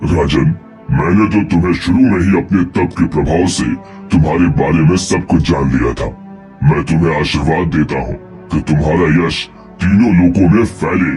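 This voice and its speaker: A man's voice: rumbling low, normal speed, a little mellow, faint music behind. A man playing a grave, sly false ascetic in a cartoon moral story, speaking formally and solemnly. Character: royal prince in disguise as a holy ascetic, grave, sly.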